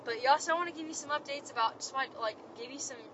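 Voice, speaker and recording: A woman's voice: high voice, quick pace. A young woman, speaking as a podcast host in casual faith talk, earnest and encouraging, her voice still cracked after being sick, recorded while driving in a car. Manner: earnest, encouraging, rambling with many fillers. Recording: dull lo-fi sound, road noise behind.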